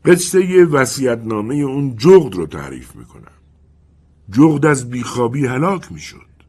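A man's voice: mid-range voice, sing-song, darkish tone, husky, pitched above the performer's own voice. A man voicing a teasing wind spirit. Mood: musing. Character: restless male wind spirit telling stories.

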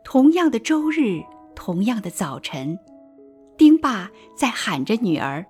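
A woman's voice: medium pitch, very animated, unhurried, a little mellow, breathy edge. A woman giving scripted dramatic narration for a radio play. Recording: music under the voice.

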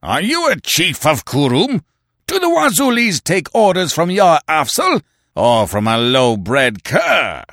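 A man puts on a big-bellied hill chief with a bull-like voice that growls low and then bursts into roars. He barks commands at his warriors, and his speech jumps wildly in pitch. The sound is close and dry. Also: expressive, unhurried, dry recording. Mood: roaring. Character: burly bellowing hill-tribe chief, older man.

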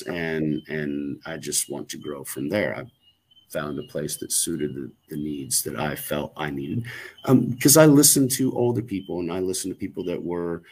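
A man's voice: sits low, low-quality recording. A man in casual podcast conversation, rambling with frequent ums and restarts and casual swearing. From United States. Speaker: podcast co-host.

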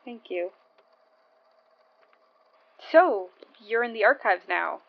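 A woman's voice: on the high side, lively intonation, slow, gravelly, muffled recording, exaggerated voice. A woman playing a seasoned, friendly field investigator with a casual, matter-of-fact manner, in a naturalistic audio drama.

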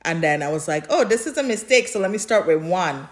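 A woman's voice: lowish voice, lively intonation, brisk. A woman co-hosting a podcast in casual chat, speaking emphatically and repeating phrases for emphasis. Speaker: podcast co-host.

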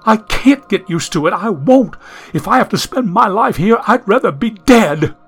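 A man's voice: high-pitched, expressive, normal speed, fairly bright tone, breathy edge, soft background music, exaggerated voice. A man reading an audiobook, voicing a genteel young woman from the 1870s who is anxious, prim and lost in the modern world. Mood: distressed. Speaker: audiobook narrator.

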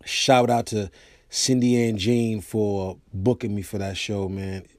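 An American man hosting his own podcast, telling comic stories in a loose, animated way. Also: fairly low pitch, slow, no room sound. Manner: animated storyteller, acts out quoted voices. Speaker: podcast host and comedian. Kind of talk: casual storytelling monologue.

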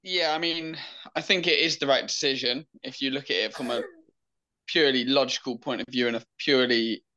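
A man co-hosting a casual podcast, talking in a relaxed, rambling way with lots of fillers. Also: medium pitch, fairly quick, muffled recording. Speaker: podcast co-host.

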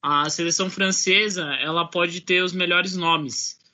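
A man with a high, very bright voice weighs in on a casual panel. He speaks thoughtfully, stopping and restarting his sentences. The audio sounds dull and lo-fi, as if from a remote recording. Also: steady tone, a little slow. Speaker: podcast panelist. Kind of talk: casual sports discussion.

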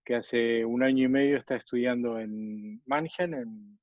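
A man's voice: medium pitch, fairly even intonation, unhurried, no room sound. A middle-aged or older man, chatting casually as an interview guest over a video call. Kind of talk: casual conversation. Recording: dull, lo-fi video-call audio.

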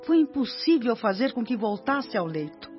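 A woman's voice: on the high side, big pitch swings, moderate pace. A woman playing a dutiful, composed nurse in a radio drama, reporting respectfully with a Brazilian accent. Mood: earnest, concerned. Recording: dull radio-drama sound, music behind.

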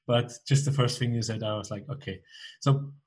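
Man, podcast host, interview chat, halting questions, middle pitch. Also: darkish tone. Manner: searching, restarts questions, laughs often.

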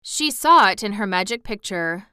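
A woman voicing a kindly, anxious emperor made of tin in a storybook, speaking formally and earnestly in a measured way. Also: mid-range voice, lively intonation, pushed and bright, clear, resonant tone, dry recording, clean studio sound. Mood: grave, earnest. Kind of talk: storybook narration character voice.

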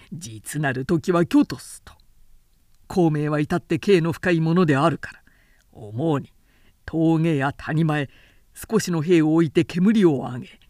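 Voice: mid-range voice, darkish tone, no echo, studio quality, lightly acted. A woman voicing a proud, cunning male warlord in an audiobook, with declamatory, archaic, commanding speech. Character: Cao Cao, proud cunning warlord, man, mocking.

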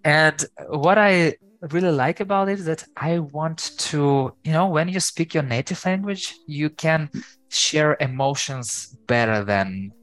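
A man with a Ukrainian accent, a guest on an interview podcast, talking in a lively, hesitant way full of fillers. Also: a little high, measured pace, dry recording. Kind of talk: casual interview chat.

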